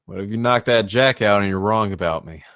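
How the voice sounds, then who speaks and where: A man plays a tough, guarded man with a generous streak in a screenplay table read. His voice sits a bit low and he keeps his delivery terse and offhand. The sound is close and dry. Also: a little fast, fairly bright tone, clean tone, dry recording.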